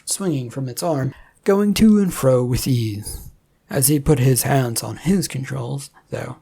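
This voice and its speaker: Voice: medium pitch, creaky, mellow, husky. A storyteller reading scripted fiction narration.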